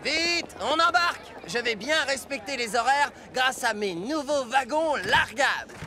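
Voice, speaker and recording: A man voicing a vain, cocky, impatient steam engine in a French children's cartoon, boastful and brisk. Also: very high-pitched, expressive, heavy background noise. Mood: excited.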